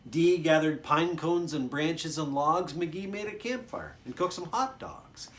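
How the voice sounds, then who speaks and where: A man's voice: a little high, unhurried, fairly bright tone. A man, a children's author, reading a picture book aloud expressively, with chatty asides to young listeners.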